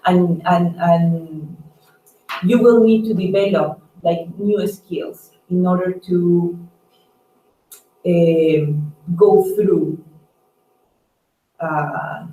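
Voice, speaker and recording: Woman, doctor, halting advisory talk, frequent check-in tags, echoey room, conference-call setting. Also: on the low side, steady tone, dark tone, dull audio. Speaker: staff doctor. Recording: roomy, distant mic, noisy conference call.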